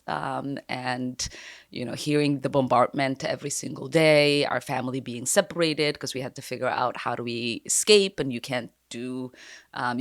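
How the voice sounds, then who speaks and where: A woman in her forties from Somalia, a congresswoman talking through her life in a thoughtful, unhurried interview, with many fillers and the odd soft laugh. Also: a bass voice, no echo, clean studio sound. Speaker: guest congresswoman. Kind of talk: conversational interview.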